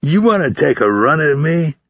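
A male narrator performs a hard, sour-tempered military trainer in an audiobook. He snaps, taunts and swears, pushed up above the reader's own pitch, with a raspy edge. The delivery is highly animated and closely, dryly recorded. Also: brisk, no room sound, dull audio, heavily acted. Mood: challenging. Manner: snapping, barking, profane. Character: sour, taunting, foul-mouthed, barking male drill-instructor type. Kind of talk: audiobook character voice.

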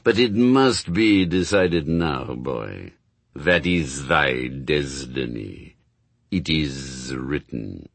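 Slow, buzzing demon lord. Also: male voice, rumbling low, lively intonation, creaky, dry recording, pitched below the performer's own voice, heavily acted. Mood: stern. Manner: slow, imperious, archaic phrasing. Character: Beelzebub, grave, slow, archaic-tongued male demon lord.

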